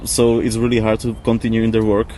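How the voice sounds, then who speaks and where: A man talks reflectively in English. He feels for his words and repeats them as he goes. Birds and traffic are clearly audible around him. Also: on the low side, monotone, dark tone. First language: not English. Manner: halting, searching for words with repetitions. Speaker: interview guest, former architect. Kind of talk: casual reflective discussion. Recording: noisy outdoor ambience with birds, traffic.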